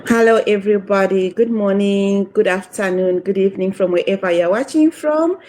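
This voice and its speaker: A woman's voice: mid-range voice, normal speed, darkish tone, rough recording. Fluent woman. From Zimbabwe. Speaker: entrepreneur. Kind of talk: self-introduction.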